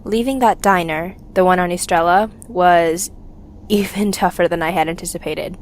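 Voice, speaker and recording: A woman playing a young fugitive rebel, bitter and haunted with grief, recording an intimate, halting confessional monologue for an audio drama. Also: lowish voice, lightly acted. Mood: haunted, shaken.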